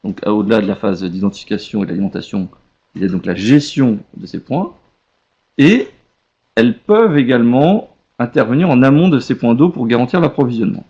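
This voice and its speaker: Guy doing a webinar explainer, lots of vocal fry, kinda halting and rephrasing, muffled lo-fi audio. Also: mid-range voice, mellow. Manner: hesitant, halting delivery with rephrasing. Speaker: webinar presenter, legal expert. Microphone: web conference connection. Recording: dull lo-fi web conference audio.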